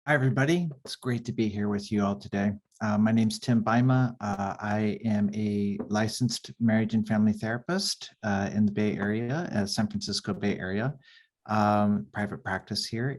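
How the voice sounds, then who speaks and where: A man, a therapist and professor, introducing himself earnestly on a video call with frequent fillers. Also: on the low side. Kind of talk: casual self-introduction.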